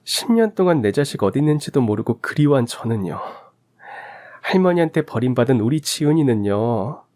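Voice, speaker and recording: A man's voice: medium pitch, big pitch swings, normal speed, darkish tone, natural voice. A man plays a wronged daughter-in-law in a radio drama. She is indignant and bitter, firing accusing questions with cutting sarcasm.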